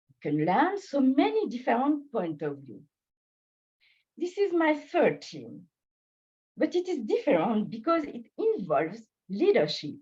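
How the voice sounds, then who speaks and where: A high, lively woman's voice with a French and Swiss French accent, French being her first language, delivering a prepared personal speech. She speaks slowly and deliberately, pausing between phrases, and her voice is slightly breathy. The sound is muffled, lo-fi online meeting audio. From born in Bangladesh, raised in France. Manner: slow, deliberate delivery with pauses. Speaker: club speaker.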